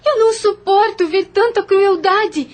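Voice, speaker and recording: A woman's voice: very high-pitched, unhurried. An actress playing a compassionate, defiant young woman in an audio novel, with impassioned, dramatic delivery. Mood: indignant.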